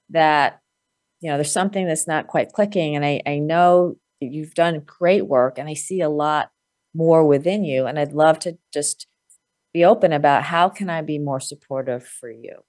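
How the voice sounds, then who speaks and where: A woman's voice: low voice, normal speed, no echo, studio quality. A woman hosting an interview, giving reflective coaching advice with frequent "like" and check-in questions. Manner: reflective, uses filler "like" and asks check-in questions. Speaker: host.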